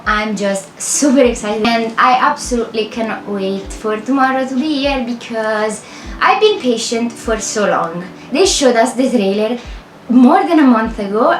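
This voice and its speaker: Young Italian woman, bright-pitched, chatty and meandering, home voiceover with slight echo and faint noise. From Italy. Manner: rambling, self-correcting, chatty with small laughs. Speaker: game builder and video creator. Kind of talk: casual voiceover over gameplay.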